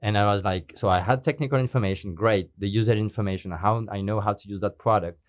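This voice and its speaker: Man, deep voice, English, guest expert, explanatory, dry studio sound. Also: quick pace, no room sound. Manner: explanatory, non-native phrasing with frequent fillers. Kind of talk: podcast interview.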